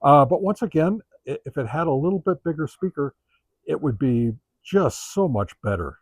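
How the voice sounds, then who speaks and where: A man giving a casual, unscripted product review as host, talking in a halting, conversational way as he thinks aloud. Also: fairly high pitch, lively intonation, a little mellow, breathy edge, dry recording.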